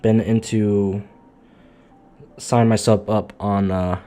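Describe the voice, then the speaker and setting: A man live streaming, chatting casually off the cuff in a halting, muttering, sweary way. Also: on the low side, little pitch movement, mellow, faint music behind.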